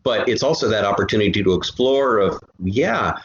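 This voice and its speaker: Low-voiced man in a roomy, noisy recording. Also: expressive, fairly quick, a bit bright. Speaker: guest, audio drama creator and musician. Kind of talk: casual interview chat. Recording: roomy, dull, noisy remote connection.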